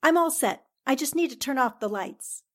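An actress plays a young newspaperwoman in an old-time radio drama. Her voice is high and melodic, full of swings, and she banters in a poised, breezy, good-humored way. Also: lively intonation, moderate pace, a bit bright. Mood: teasing. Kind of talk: 1940s radio drama acting.

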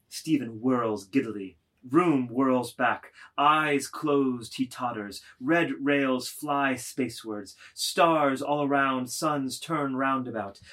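A man's voice: mid-range voice, a bit bright. A man reading scripted literary narration expressively, with rhythmic, emphatic delivery of lists of words.

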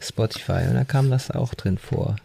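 A man with a medium-pitched voice, recorded dry without room sound. He chats casually and informally as one of the hosts of a podcast. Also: moderate pace, creaky, mellow, husky, dry recording. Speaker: podcast co-host, drummer. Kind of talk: casual chat.

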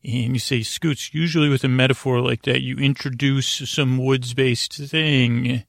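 Male sleep-podcast host: flat intonation, gravelly fry, halting, meandering, low-key delivery. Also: no echo, studio quality. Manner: meandering, halting, frequently digressing and losing his thread; low-key, soothing, deliberately unengaging. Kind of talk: rambling sleep-podcast monologue.

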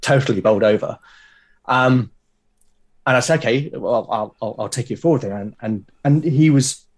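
Guy on a podcast, bit husky, keeps restarting his words, keen and chatty. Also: mid-range voice, moderate pace. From Britain. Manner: hesitant, repeats words, enthusiastic. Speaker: podcast guest, solo game podcaster. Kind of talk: casual conversational interview.

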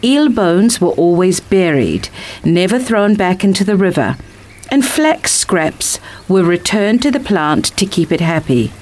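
A woman's voice: on the low side, big pitch swings, measured pace, a little mellow. A woman reading a picture book as scripted story narration.

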